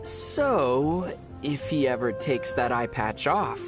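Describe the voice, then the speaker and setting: Low-voiced, calm young male traveler. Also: takes it slowly, over music, full character voice. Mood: dry, curious. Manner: dry, understated teasing. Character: young male traveler hero, calm, dry, gently teasing. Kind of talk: video game voice acting.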